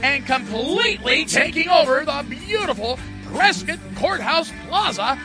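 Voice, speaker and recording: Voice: high voice, expressive, a little slow. An announcer reading an upbeat radio ad with a hyped, punchy delivery. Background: music bed.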